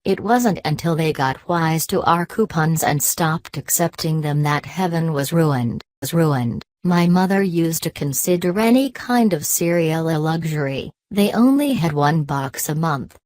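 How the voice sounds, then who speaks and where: A text-to-speech woman's voice reads online posts aloud in an even, mechanical way, often repeating the last word of a post. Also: deep voice, measured pace, hardly any pauses, dry recording. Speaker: narrator reading forum posts.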